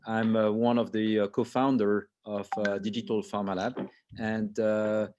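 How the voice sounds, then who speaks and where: A man hosting an online interview, speaking haltingly with heavy fillers. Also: lowish voice, little pitch movement, muffled recording, background noise. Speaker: host, co-founder.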